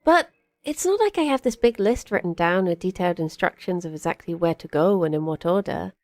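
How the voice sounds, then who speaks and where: A woman's voice: on the low side, expressive, a little fast, no echo. A woman playing a cheerful, chatty young witch in an audio drama, rambling a little hesitantly and eager to please. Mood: easygoing. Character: cheerful, chatty young witch, tall rabbit-eared druid companion. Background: music.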